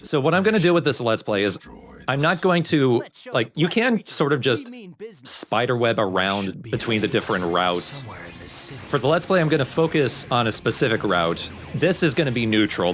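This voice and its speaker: Casting: male let's play host, on the deeper side, wry explainer over game music.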